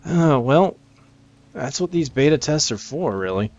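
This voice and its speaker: A man's voice: on the high side, expressive, unhurried, a little mellow, lo-fi sound. Man rambling casually over gameplay. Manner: rambling, thinking aloud. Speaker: gamer presenting a game preview. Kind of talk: casual unscripted gameplay commentary. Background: game music.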